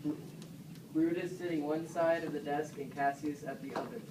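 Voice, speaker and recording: A man's voice: on the high side, steady tone, moderate pace. A man narrating, reading out stage directions for an amateur puppet play. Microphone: distant camera microphone. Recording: echoey noisy room, far from mic.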